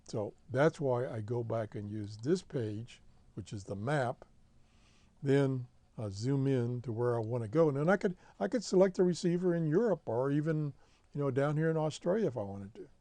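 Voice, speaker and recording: A man's voice: mid-range voice, lively intonation, normal speed, mellow, no echo. An American man hosting a hobby show, giving a casual, plain-spoken tutorial step by step over a screen recording. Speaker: host of a shortwave radio hobby show.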